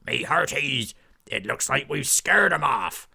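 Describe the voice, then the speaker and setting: A man voicing a boisterous pirate captain, loud and gruff with hearty pirate talk, in a storybook tale. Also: medium pitch, lively intonation, measured pace, pitched above the performer's own voice, exaggerated voice. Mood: bellowing. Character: boisterous male pirate captain, flower lover.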